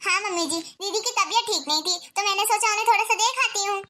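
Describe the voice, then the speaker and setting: A woman's voice: extremely high pitch, fast talker, bright, forceful tone. A woman acting an anxious young wife who frets and pleads in a family drama. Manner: fretful and pleading.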